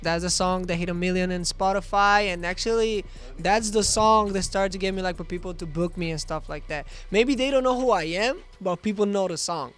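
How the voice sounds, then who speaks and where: A man's voice: high voice, brisk, few pauses, a bit bright, soft background music. A young man, a native Albanian speaker raised in Spain, talking loosely in a studio interview with many fillers and a voice that trails off. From born in Greece, raised in Spain. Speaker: guest musician. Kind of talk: casual interview.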